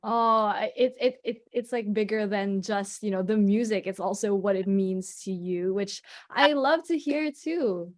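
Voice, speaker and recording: A woman's voice: medium pitch, unhurried, no echo, studio quality. A woman with a Filipino accent, moderating a media Q&A as host in a bubbly, laughing manner. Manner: bubbly, laughing, mixing English and Tagalog. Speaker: press conference host.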